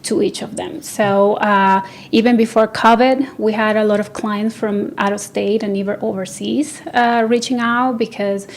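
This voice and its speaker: Venezuelan-born woman, design business owner, hesitant studio interviewee, mid-range pitch. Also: steady tone, normal speed, few pauses, a little hiss or hum. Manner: hesitant, many fillers and restarts. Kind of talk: casual interview answers. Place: radio studio.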